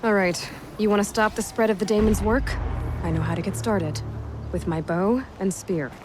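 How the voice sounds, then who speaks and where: A woman's voice: medium pitch, normal speed, noisy background, lightly acted. An actress playing a young female hunter in a video game, with a dry, wry and direct way of talking. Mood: determined. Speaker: game protagonist. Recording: game cutscene with loud music.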